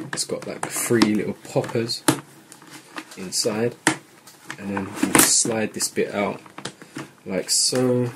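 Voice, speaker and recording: Male how-to vlogger, fairly low pitch, casual and unscripted, talking through the task at hand. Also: very noisy. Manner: informal, thinking aloud while working. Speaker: vlogger giving a how-to tutorial. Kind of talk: casual hands-on tutorial narration. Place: indoors at a wooden table in a home room.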